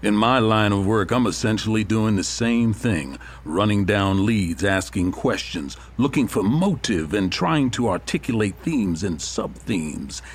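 An actor playing a cocky, menacingly playful detective from a story come to life, deliberate and theatrical in an audio drama. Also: male voice, on the low side, expressive, a little hiss or hum. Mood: smooth, assured. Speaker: actor in a fiction podcast.

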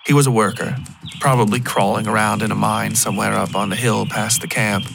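A man narrating a scripted first-person story in a measured, literary storytelling style. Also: fairly low pitch, fairly even intonation, creaky, background noise. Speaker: fiction podcast narrator.

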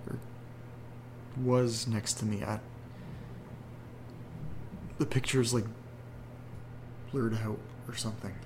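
A man's voice: fairly low pitch, steady tone, slow pace, long pauses, background noise. An actor playing an anxious young man who talks hesitantly and rambles, in a horror audio drama. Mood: frightened. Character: anxious young man receiving strange photos.

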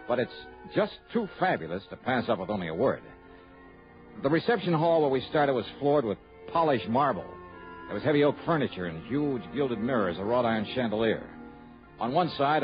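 A man's voice: medium pitch, very animated, a little fast, lightly acted. A man playing a businesslike, patient but puzzled investigator in an old-time radio drama. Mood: wry, descriptive. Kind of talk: old-time radio drama acting and first-person narration. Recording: dull old radio recording with music.

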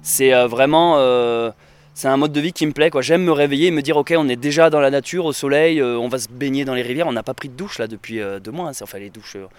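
A man's voice: mid-range voice, fast talker, clear, resonant tone, no echo. A man chatting casually in an interview, rambling with many fillers and restarts. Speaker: interviewee, traveler and videographer.

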